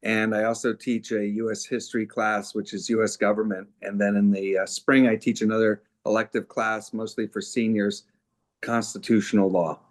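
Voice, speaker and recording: A man's voice: lowish voice, little pitch movement, normal speed. A middle-aged man, a teacher, introducing himself informally at a webinar. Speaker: college counselor and history teacher.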